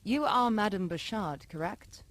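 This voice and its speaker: A woman's voice: medium pitch, lively intonation, measured pace, no echo, close to an everyday voice. A woman playing a haughty, formal vampire noblewoman in a video game. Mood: poised, inquiring. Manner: formal, ornate phrasing.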